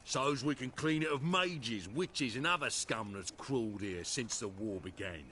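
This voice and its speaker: An actor playing a bullying, bigoted city guard in a fantasy game, with rough, sneering colloquial speech. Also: male voice, mid-range voice, animated, a little slow, bright, forceful tone, slight background noise.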